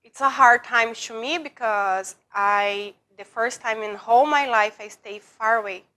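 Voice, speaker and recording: Woman, Brazilian Portuguese accent, fairly high voice, unhurried, broken English, interview guest. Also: sharp tone. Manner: broken English, repeats and restarts words, emotional. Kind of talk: personal story in an interview.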